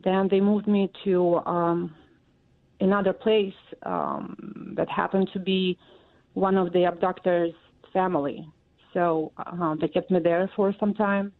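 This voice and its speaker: A woman in her forties from Russia, speaking English with a Russian accent as an interview guest, halting and full of fillers. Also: lowish voice. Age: 40s. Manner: halting, many fillers and pauses. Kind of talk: interview answers. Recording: tinny phone line.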